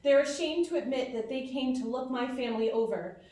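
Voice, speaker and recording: Ringing in a very echoey hall, off the mic, is a proud, impassioned young woman played by an actress. Her voice is fairly high, forthright and indignant, in the style of a live radio play. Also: a little fast, dark tone, far from the mic, natural voice.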